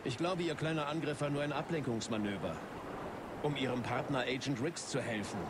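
A man acts as an accusing military commander in a video game. His medium voice is measured and full of authority, with battlefield ambience behind. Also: medium pitch, lightly acted. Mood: stern, accusing. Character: stern male military commander, accusing interrogator. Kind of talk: video game voice acting, German dub. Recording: noisy outdoor battlefield ambience.

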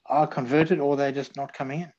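Aussie guy, mid pitch, kinda muffled lo-fi audio, careful casual chat. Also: little pitch movement, a little fast, a little mellow. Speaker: podcast panelist. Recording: dull lo-fi connection.

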